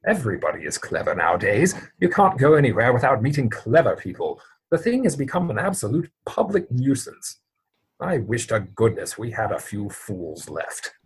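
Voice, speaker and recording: A man acting an exasperated, earnest gentleman in a Victorian stage comedy, with formal, mannered phrasing, recorded at home over a video call. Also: mid-range voice, very animated, moderate pace, husky, low-quality recording. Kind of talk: stage comedy dramatic reading.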